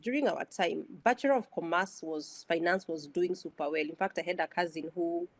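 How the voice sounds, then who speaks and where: A woman's voice: lowish voice, fairly quick, no room sound. A woman in her thirties with a Kenyan accent, a guest telling her life story in an interview, chatty and full of fillers. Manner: chatty, with many filler sounds and restarts.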